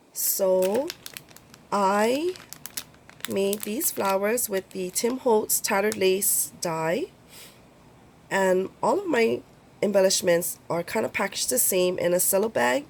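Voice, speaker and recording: A woman's voice: mid-range voice, vocal fry, a little mellow. A woman with a cold, sounding congested, chatting casually as she shows her craft swap items. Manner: chatty, rambling, many ums. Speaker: crafting YouTuber. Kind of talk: casual show-and-tell vlog.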